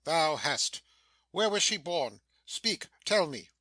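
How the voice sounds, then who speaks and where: A man playing a stern, commanding sorcerer master in a dramatic Shakespeare reading, declamatory and quick to scold. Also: on the high side, big pitch swings, sharp tone, dry recording, full character voice. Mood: scolding anger. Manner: declamatory, imperious.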